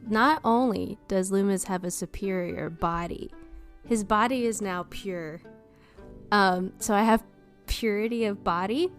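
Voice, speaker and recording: A woman's voice: medium pitch, measured pace, soft background music. A woman playing in a tabletop podcast, chatting casually with a mock-grand touch. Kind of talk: casual game chat.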